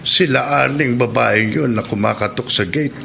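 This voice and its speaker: Gravelly, weary old neighbor. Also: male voice, mid-range voice, normal speed, bright, forceful tone, a little hiss or hum. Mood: weary, grumbling. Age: older. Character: weary old neighborhood man, easily winded. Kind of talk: Filipino radio drama acting.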